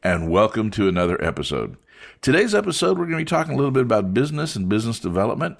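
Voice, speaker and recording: A man hosting a podcast interview, talking in a casual, friendly way with small jokes. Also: low voice. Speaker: podcast host.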